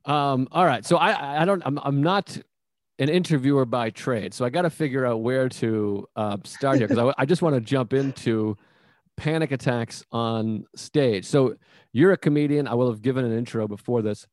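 An American man from Massachusetts with a mid-pitched voice, recorded close and dry. He interviews in a casual, rambling and self-deprecating way, as a podcast host would. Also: moderate pace, no echo. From Massachusetts, United States.

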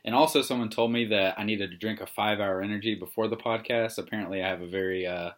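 A young man co-hosting a casual sports podcast, talking in a relaxed, rambling, somewhat monotone way. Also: fairly low pitch, quick pace. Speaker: podcast co-host.

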